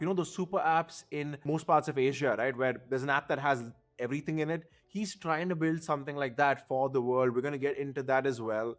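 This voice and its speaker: A man hosting a podcast, introducing the show and asking his guest questions in a conversational style. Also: a little high. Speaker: podcast host.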